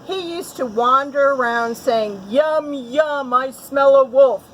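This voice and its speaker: Woman as a high-pitched, excitable silly goose. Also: slow, highs cut off, slight background noise. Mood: earnest, bluffing. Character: silly quick-witted male goose, boastful storyteller.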